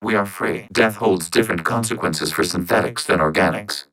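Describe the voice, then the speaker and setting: Game robot, lowish voice, synthetic processing, calm, logical, formal, precise measured delivery. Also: male voice, a little hiss or hum. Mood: calm, factual. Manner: measured, formal, literal, clipped phrasing. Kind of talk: video game character dialogue.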